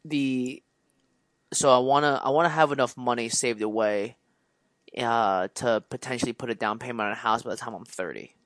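A chatty man in his mid twenties co-hosting a podcast, rambling on with restarts and easy laughs. His voice sits at an ordinary middle pitch. The recording is dry and close, with no room sound. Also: moderate pace, fairly bright tone, dry recording. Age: 20s. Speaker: podcast co-host. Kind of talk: casual chat.

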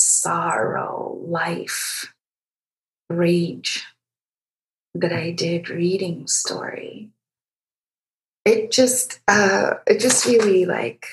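Woman, from Egypt, slow-paced, lowish pitch, literary interviewer, reflective, halting phrasing. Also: low-quality recording.

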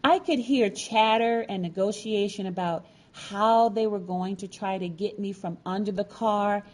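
Slow-paced woman telling a personal story. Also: mid-range voice. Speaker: featured storyteller. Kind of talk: personal storytelling, scripted narration.

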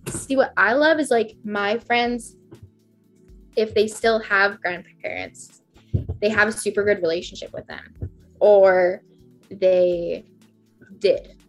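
A woman's voice: mid-range voice, takes it slowly, long pauses, faint music behind, low-quality recording. A woman in her twenties, a podcast guest in casual chat, rambling and chatty with lots of "like" fillers. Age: 20s. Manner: chatty, rambling, heavy use of "like".

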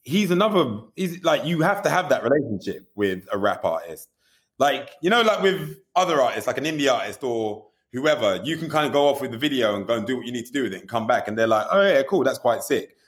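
A man's voice: medium pitch, big pitch swings, fast talker. A man being interviewed about directing music videos, talking candidly and animatedly, often asking "you know what I mean" and swearing casually.

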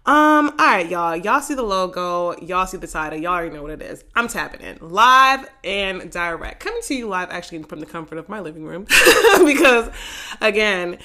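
A woman hosting a casual solo podcast from her living room, raspy and congested from a head cold, sassy and slangy with frequent laughs. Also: fairly low pitch, animated, moderate pace, bright, forceful tone. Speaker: podcast host.

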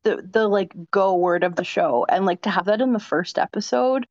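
A woman's voice: medium pitch, moderate pace, a little mellow, dry recording. Chatty female co-host, casual filler-heavy delivery, muffled lo-fi mic. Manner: chatty, many likes. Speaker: podcast co-host. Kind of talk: casual chat.

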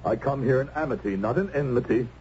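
An actor playing a righteous, coldly composed Puritan witch-hunter in an old-time radio drama, measured, solemn and formal. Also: medium pitch, natural voice. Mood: solemn, resolute. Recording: muffled, faintly noisy old radio broadcast.

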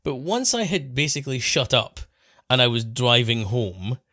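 A man's voice: medium pitch, moderate pace, dry recording, studio quality. A man from England co-hosting a podcast, telling stories with wry humour and frequent laughs. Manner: animated storyteller, laughs often, wry. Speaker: co-host. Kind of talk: casual podcast chat.

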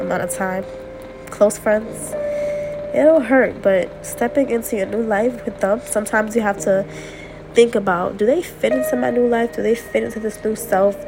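A woman's voice: mid-range voice, background noise. A young woman hosting a personal podcast, talking candidly and repeating herself as she vents late at night while getting over a cold. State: recovering from a cold. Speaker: podcast host.

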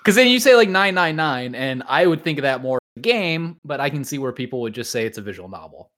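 A man's voice: medium pitch, very animated, quick pace, fairly bright tone. A man hosting a podcast, chatting casually and informally as he interviews a guest, often thinking aloud. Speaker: podcast host.

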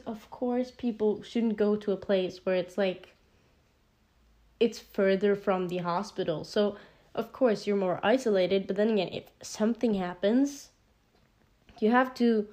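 A teenage girl with a Norwegian accent talks through her solo podcast in a loose, rambling way, full of "like" and "um" and little apologetic asides. Her voice is soft and mellow rather than bright, sitting at an ordinary pitch for her age. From Norway. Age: teen. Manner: rambling, filler-heavy, giggly asides. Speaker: podcast host.

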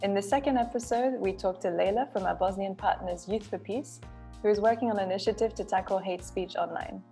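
A woman co-hosting a podcast, speaking in a measured, polite way as she introduces topics and asks questions. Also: mid-range voice, warm tone, soft background music. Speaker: podcast co-host.

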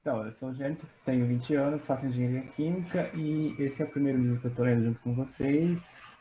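A young Brazilian-accented man introduces himself in casual talk. His voice is mellow and relaxed at a middle pitch, over faint noise. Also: fast, rough recording. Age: young adult. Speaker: book club member, engineering student.

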